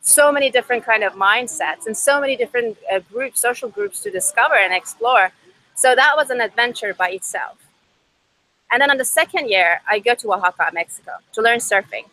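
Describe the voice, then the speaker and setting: Bright-voiced young Iranian woman, conversational. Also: a little high, brisk, low-quality recording. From Iran. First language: Farsi. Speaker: podcast guest, startup co-founder. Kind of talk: conversational personal storytelling. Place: outdoors by a river. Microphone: video call.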